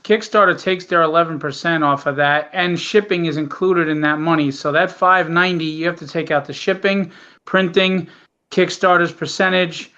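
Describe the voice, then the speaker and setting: A woman's voice: on the low side, steady tone, normal speed, a bit bright. A woman explaining things casually as a panel guest, firm and emphatic, over slightly lo-fi call audio.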